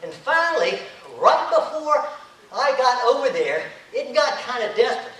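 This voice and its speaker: A man's voice: extremely high pitch, moderate pace. A man telling a tall tale as a contest speaker, acting out the action with big swings in his voice. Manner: animated storyteller, acting out the action. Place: hotel conference room. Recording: echoey room, recorded from far off.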